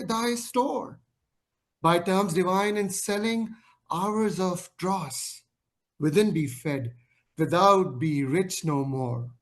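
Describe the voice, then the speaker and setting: A man whose first language is Urdu introduces himself with hesitant fillers, then recites a sonnet in slow, drawn-out lines. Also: sits high. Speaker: poetry reader.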